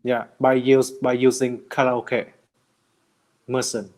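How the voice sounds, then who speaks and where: A man speaking English as a learner, halting and hesitant, restarting words often in casual chat. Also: medium pitch, level tone, darkish tone. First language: not English. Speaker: English learner in conversation practice.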